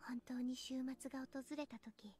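A woman playing a gentle, earnest teenage schoolgirl in a Japanese visual novel, with a soft, cutesy manner. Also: high-pitched, steady tone, fast talker, soft background music, close to an everyday voice. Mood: gently earnest. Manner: soft, cutesy, refers to herself by name.

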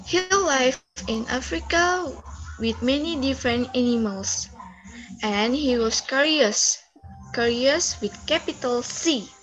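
A woman's voice: high-pitched, slow pace, fairly bright tone, faint music behind, low-quality recording. A young woman reading scripted story narration as a storyteller on a video call. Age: young adult. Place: indoor room, on video call. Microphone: video call microphone.

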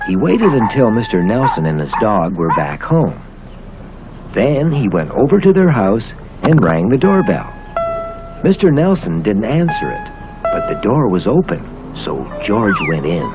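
Male American children's narrator, theatrical delivery with very wide pitch swings, dull vintage cartoon sound. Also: measured pace. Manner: theatrical, expressive storytelling. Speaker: storybook narrator. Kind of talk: scripted children's story narration. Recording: muffled old cartoon soundtrack with music.

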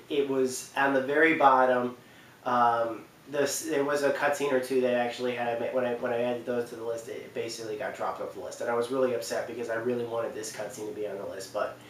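Halting young man, roomy sound. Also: medium pitch, a bit bright. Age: 20s. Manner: halting, rambling. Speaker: video presenter. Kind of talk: casual unscripted countdown commentary. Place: living room couch indoors. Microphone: camera mic at a distance. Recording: roomy, distant mic, faint noise.

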